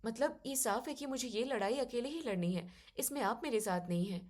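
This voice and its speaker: A woman playing an educated young daughter-in-law who is soft-spoken, polite and firm as she reasons in an audio story. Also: a little high, fairly quick, natural voice. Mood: hurt, resolute.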